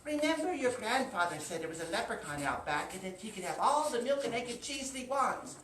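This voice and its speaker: A woman's voice: a little high, big pitch swings, brisk, fairly bright tone, voice pushed up. A woman voicing a sensible, chirpy female storybook character who gives nagging, matter-of-fact advice in a lilting voice. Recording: roomy, distant mic with faint noise.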